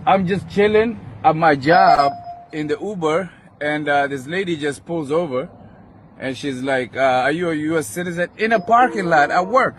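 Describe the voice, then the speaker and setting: A high-voiced man with a foreign accent argues indignantly, challenging whoever he faces. He is recorded outdoors, with faint street and vehicle noise around him. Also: moderate pace. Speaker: rideshare driver. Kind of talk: confrontational argument caught on phone video. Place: outdoor parking lot.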